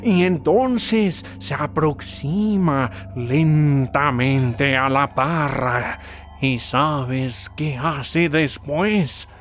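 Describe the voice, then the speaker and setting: A man voicing a sardonic cartoon squid who tells spooky tales in a drawn-out, ominous way. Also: fairly high pitch, a bit bright, faint music behind. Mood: spooky, teasing. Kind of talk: cartoon dub.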